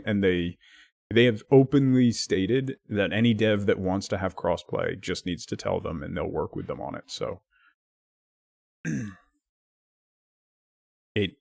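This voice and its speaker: Low-ish gravelly guy streaming, casual chat w/ viewers, dry mic. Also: dry recording. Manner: chatty, thanks viewers by name, lively swings in pitch.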